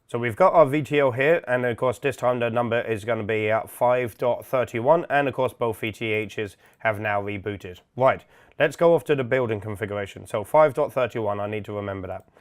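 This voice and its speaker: A man's voice: mid-range voice, fairly quick. Male tutorial presenter, methodical and informal, explains each step plainly. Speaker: instructor, product demonstrator. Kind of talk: software setup tutorial, step-by-step walkthrough.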